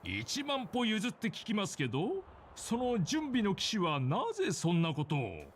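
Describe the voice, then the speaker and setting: High-voiced, exasperated polite young man. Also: huge pitch swings, fast talker, a little hiss or hum. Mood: incredulous. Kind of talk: Japanese anime game voice acting.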